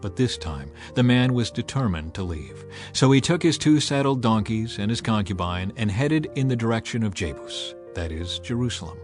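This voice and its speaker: A man narrating a dramatized scripture audiobook with measured, dramatic storytelling and emphatic swells. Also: on the low side, animated. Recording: music bed under the voice.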